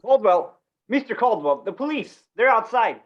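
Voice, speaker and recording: A flustered householder played by an actor in an old-time radio play. The voice is mid-pitched and very animated, pleading and exclaiming, with slightly dull sound. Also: normal speed. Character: flustered householder Mister Korlanovich. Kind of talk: 1950s radio play acting.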